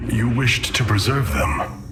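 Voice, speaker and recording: Noble alien war leader, sweeping intonation. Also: male voice, medium pitch, animated, takes it slowly, heavy background noise. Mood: firm. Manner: solemn, formal, commanding. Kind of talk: dramatic video game cutscene acting.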